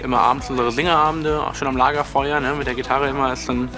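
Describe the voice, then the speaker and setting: A man's voice: mid-range voice, fairly even intonation, fast talker, over music. A nineteen-year-old man from Germany with a lisp, talking earnestly as a contestant on a TV casting show. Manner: earnest, chatty, lots of tag questions. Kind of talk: TV interview and casting talk.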